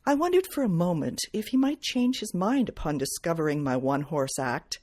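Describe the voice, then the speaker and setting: A woman reading first-person detective fiction aloud as its author, in measured, clearly phrased narration. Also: on the low side, lively intonation, darkish tone. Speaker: author reading from own novel.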